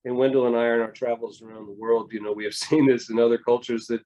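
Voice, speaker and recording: A man's voice: medium pitch, steady tone, fast talker, warm tone, muffled recording. A middle-aged man talking reflectively in an interview, with hesitant restarts and rambling thoughts. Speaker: family therapist, guest.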